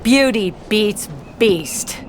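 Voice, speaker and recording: An actress playing a tough, confident superheroine in a video game, brisk, no-nonsense and sardonic. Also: female voice, mid-range voice, expressive, background noise. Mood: taunting. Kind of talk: video game cinematic acting.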